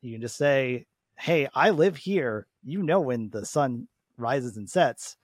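Relaxed conversational man on a podcast. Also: medium pitch, slow, dry recording, studio quality. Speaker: podcast panelist. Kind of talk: casual panel discussion.

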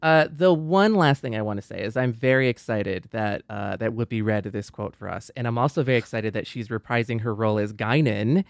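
A man's voice: on the low side, fairly quick, vocal fry, few pauses, no echo, studio quality. A man co-hosting a podcast, talking in a casual style. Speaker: podcast co-host.

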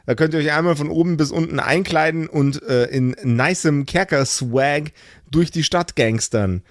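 A man's voice: on the high side, moderate pace, no echo, clean studio sound. A man co-hosting a podcast, casually ad-libbing a joking plug. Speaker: podcast co-host.

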